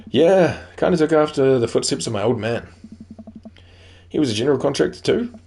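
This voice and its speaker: A man's voice: mid-range voice, expressive, a little fast, gravelly, darkish tone, a little hiss or hum. A man with a New Zealand accent voicing a friendly, laid-back middle-aged contractor dad in a dating game. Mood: easygoing.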